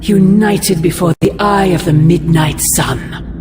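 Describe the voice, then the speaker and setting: A woman's voice: lowish voice, slow. A woman voicing an ancient, imperious sorceress mother in a video game, speaking in grandiose, formal, ominous phrases. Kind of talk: dramatic video game cutscene acting. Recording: loud music underneath.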